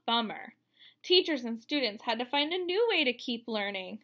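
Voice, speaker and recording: Bright, high-pitched woman reading to children. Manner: expressive, explanatory, addressing young listeners. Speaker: teacher reading a children's book aloud. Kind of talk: read-aloud storytelling for children with asides. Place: room at home, indoors.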